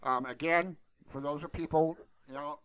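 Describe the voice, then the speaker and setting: A man's voice: a little high, steady tone, moderate pace, a bit bright, dry recording. A man hosting a tutorial channel, talking casually and off the cuff in a blunt, rambling, colloquial way into a close mic. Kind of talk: casual unscripted screen-share talk.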